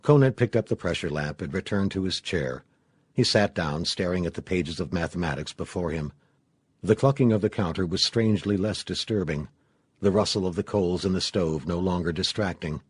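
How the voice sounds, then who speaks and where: Very low, husky male storyteller. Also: moderate pace, dry recording, clean studio sound. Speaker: audiobook narrator. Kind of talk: scripted narration of a suspenseful story.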